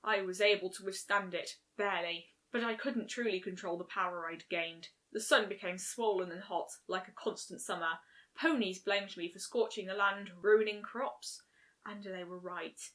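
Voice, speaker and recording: A woman's voice: mid-range voice, steady tone, moderate pace, a bit bright, pitched below the performer's own voice, close to an everyday voice. Woman doing a royal mare princess for a fanfic story, grave n formal, tired, full of regret. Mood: solemn, resolute. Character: regal adult mare princess, weary, solemn, guilt-ridden. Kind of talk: fan fiction story reading.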